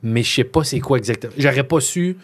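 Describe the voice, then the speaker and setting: A man's voice: mid-range voice, fast talker. A man hosting a podcast, asking curious questions with some hesitation. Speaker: podcast host. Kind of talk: casual interview.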